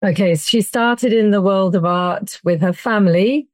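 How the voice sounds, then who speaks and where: Brisk woman interpreter, dry sound. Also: mid-range voice, no echo.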